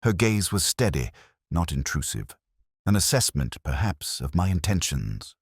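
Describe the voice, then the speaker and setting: A man playing a reflective, observant police inspector who narrates a detective audio drama in a measured, literary, brooding manner. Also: low voice, big pitch swings, husky, no echo, clean studio sound, heavily acted. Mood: pensive, measured.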